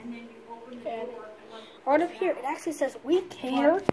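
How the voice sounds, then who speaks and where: A woman's voice: sits high, takes it slowly, crowd noise. A woman filming a casual walk-through vlog outside a closed restaurant, rambling and often shrugging things off with "well, whatever.". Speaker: vlogger exploring an abandoned building. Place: outdoors around a closed restaurant building.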